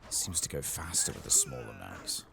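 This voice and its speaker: A man hosting a soft-spoken, whispered ASMR game playthrough, murmuring his thoughts aloud in a relaxed, unscripted way. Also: a bass voice, moderate pace, gravelly, noisy background. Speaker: gaming video host.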